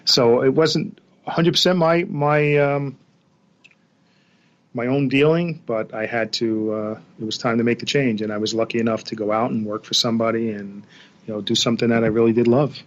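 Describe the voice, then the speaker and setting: A middle-aged man, answering casually as an interview guest in a plainspoken, halting way. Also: medium pitch, flat delivery. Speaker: interview guest, accountant and former police officer. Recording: dull, lo-fi remote connection.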